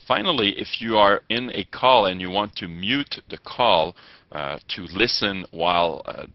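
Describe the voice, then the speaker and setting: A man giving step-by-step tutorial narration as an instructor, with halting delivery and formal wording. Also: sits low. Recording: muffled, echoey lo-fi room recording.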